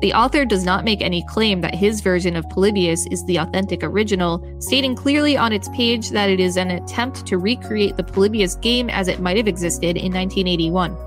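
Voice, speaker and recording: A woman's voice: mid-range voice, brisk, few pauses. Woman reading an article over faint music. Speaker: podcast co-host reading articles aloud. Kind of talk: scripted article narration.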